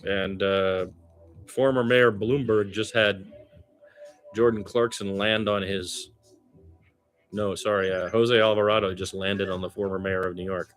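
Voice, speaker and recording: A man doing basketball radio play-by-play, candid and colloquial, with casual partisan asides and an excitable streak on big plays. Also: fairly low pitch, a little slow, soft background music. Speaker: play-by-play commentator.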